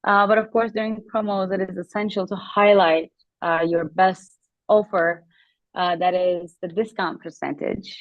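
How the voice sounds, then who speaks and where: A woman's voice: mid-range voice, fairly even intonation, normal speed, dry recording. Give me a hesitant woman on a phone-like, dry call line, answering a question. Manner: hesitant, many fillers. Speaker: guest speaker. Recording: tinny call-like audio.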